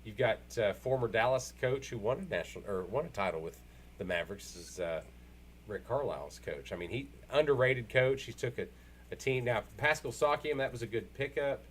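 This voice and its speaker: A man hosting a casual, unscripted sports talk show, rambling and halting as he repeats himself. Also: mid-range voice, lively intonation, a little hiss or hum.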